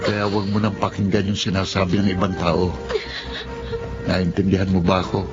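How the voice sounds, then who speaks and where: A man playing a deep-voiced caring big brother. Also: flat delivery, normal speed, highs cut off, over music, close to an everyday voice. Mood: coaxing. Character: caring older brother and hardworking father. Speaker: supportive older brother, then family-man narrator. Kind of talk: radio drama acting.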